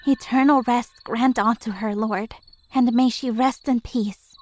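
A woman's voice: a little high, steady tone, unhurried, a little mellow, soft background music. An actress playing a timid, devout teenage student girl who sounds worried. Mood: nervous.

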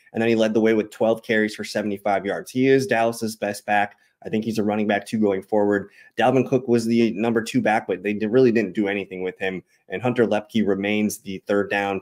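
A man in his thirties hosting a sports show, casually analyzing and reading out stats in a matter-of-fact, conversational way. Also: lowish voice. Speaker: fantasy football show host.

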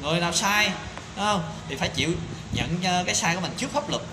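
A man's voice: high-pitched, animated, fast. Indignant man ranting in echoey room. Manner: indignant, rhetorical questions, many fillers. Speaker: commentator. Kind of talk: opinion monologue to camera. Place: small tiled room indoors. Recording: echoey tiled room with background noise.

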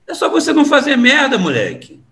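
A man's voice: extremely high pitch, huge pitch swings, a little fast, fairly bright tone, exaggerated voice. An actor playing a cynical, streetwise middle-aged crook and father in an audio drama, taunting and slangy. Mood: mocking.

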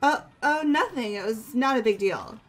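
A woman's voice: fairly high pitch, lively intonation, moderate pace. A woman playing an earnest, emotional, sporty teenage girl in a video game, sincere and a little hesitant. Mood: upset. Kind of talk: video game character voice-over. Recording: music bed behind voice.

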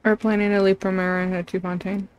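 A woman's voice: mid-range voice, flat delivery, quick pace, dull audio, slight background noise. A woman streamer reading game lines aloud and commenting casually as she plays.

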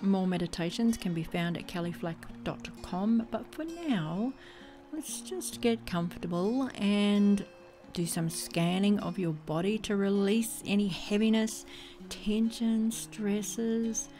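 A woman leading a guided body scan meditation in a soothing, gentle way, leaving pauses between phrases. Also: mid-range voice. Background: music.

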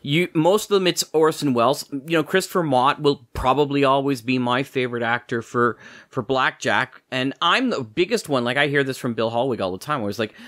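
A man's voice: mid-range voice, fairly quick, few pauses, a bit bright. A man talking casually as a podcast host and interviewer.

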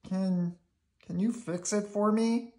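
A man's voice: high-pitched, steady tone, slow, darkish tone, voice pushed up. A man voicing an earnest, eager, fretful male puppet storekeeper in a children's storybook. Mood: worried, dismayed.

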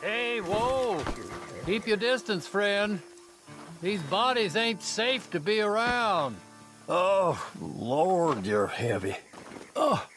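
An actor playing a folksy, morbid old frontier undertaker with an in a video game. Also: male voice, sits high, animated, very slow, music in the background, exaggerated voice. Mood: wary warning, then straining. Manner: drawling, folksy. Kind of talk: western video game acting.

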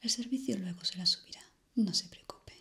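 A young woman from playing a polite, eerie hotel receptionist in an ASMR roleplay, formal and hushed. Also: medium pitch, close to an everyday voice. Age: young adult. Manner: formal, slow, hushed and courteous.

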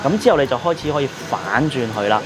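A middle-aged man hosts a food vlog with a Hong Kong Cantonese accent. His voice sits at an ordinary male pitch, and he explains things in a casual, chatty way. Clear background noise runs behind him. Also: very animated, fast. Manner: chatty, explanatory, frequent sentence particles. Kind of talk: casual restaurant review narration.

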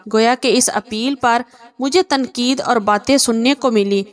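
A woman reading out a scripted moral story as a storyteller. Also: on the high side.

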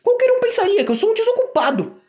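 Guy doing a grumpy young cartoon train, high and whiny, swoopy, music behind. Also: sing-song, fast, darkish tone. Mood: grumbling. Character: grumpy, cheeky young male tank engine, easily alarmed.

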